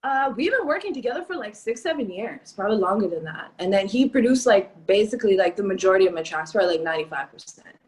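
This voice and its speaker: A young woman from Canada, a rapper, talks easily about her music in an interview. Her speech is loose and chatty, full of "like" and "um". Her voice sits in an ordinary middle pitch. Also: big pitch swings, fast, few pauses. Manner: chatty, lots of "like" and "um". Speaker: rapper guest. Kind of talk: casual interview chat.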